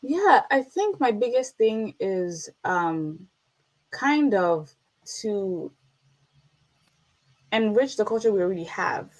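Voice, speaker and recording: A woman's voice: medium pitch, very animated, slow pace, long pauses, low-quality recording. Earnest woman, interview guest. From United States. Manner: earnest, reflective, repeats for emphasis. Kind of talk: casual interview conversation.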